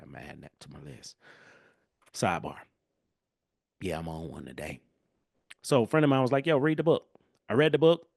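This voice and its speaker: A man hosting a solo podcast in a casual, animated way, his voice congested and nasal from a cold. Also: on the low side, no echo, studio quality. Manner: casual, animated, chatty with asides. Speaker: podcast host.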